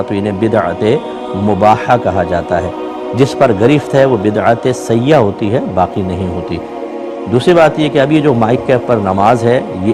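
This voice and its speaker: Man giving a religious lecture over music. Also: fairly low pitch. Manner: explanatory, measured, point by point. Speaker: Islamic scholar answering religious questions. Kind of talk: religious question-and-answer lecture.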